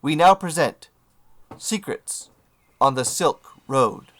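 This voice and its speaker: A man's voice: on the high side, lively intonation, takes it slowly, fairly bright tone. A man acting as announcer, reading a scripted introduction to an audio play.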